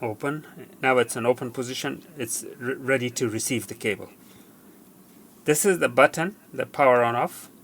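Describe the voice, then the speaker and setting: A man with a creaky voice of average pitch talks through a hands-on repair tutorial. He goes step by step, haltingly, often repeating himself. Faint background noise sits under the recording. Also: fairly bright tone. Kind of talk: hands-on how-to demonstration.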